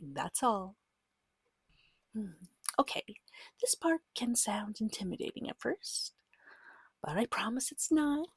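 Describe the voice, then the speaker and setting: A woman speaking softly and breathily, often near a whisper, gently and patiently guiding a beginner as game master in an ASMR roleplay. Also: on the high side, very animated, breathy edge, dry recording. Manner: gentle, patient, reassuring, unhurried. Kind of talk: soft-spoken ASMR roleplay tutorial.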